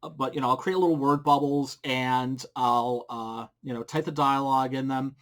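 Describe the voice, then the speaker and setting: A middle-aged man talks casually at a desk mic at home, sharing creator advice. His voice sits at an ordinary pitch, and his delivery is blunt and informal, with a crude streak of humor. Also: fairly even intonation, moderate pace. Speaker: comic book creator giving advice. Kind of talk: casual advice vlog to camera. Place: small indoor home room. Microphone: desk microphone with pop filter, close.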